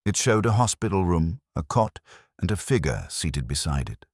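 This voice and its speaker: A man's voice: low voice, big pitch swings, normal speed, darkish tone, no room sound, clean studio sound. A man reading scripted narration of a detective mystery story.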